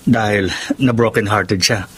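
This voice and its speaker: An actor playing a friendly, reassuring young suitor in a Filipino radio drama. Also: male voice, fairly low pitch, steady tone, unhurried, fairly bright tone, slightly rough, exaggerated voice. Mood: earnest, gentle.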